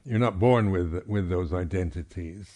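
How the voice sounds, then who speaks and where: Low-voiced man giving a halting dharma talk. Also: dark tone. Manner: halting, reflective, with repeated throat clearing. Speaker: Buddhist monk teacher. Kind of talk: unscripted dharma talk at a meditation retreat.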